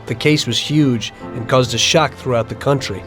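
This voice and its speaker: An actor playing a weary former mobster, speaking in a plain, reflective, matter-of-fact way in a video game story. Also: male voice, medium pitch, moderate pace, fairly bright tone, soft background music, close to an everyday voice.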